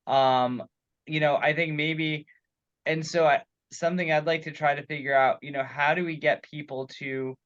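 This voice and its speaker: A man thinking aloud in a meeting, haltingly searching for his words. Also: medium pitch, fairly bright tone. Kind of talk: thoughtful meeting discussion. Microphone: group teleconference call. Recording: dull lo-fi conference call audio.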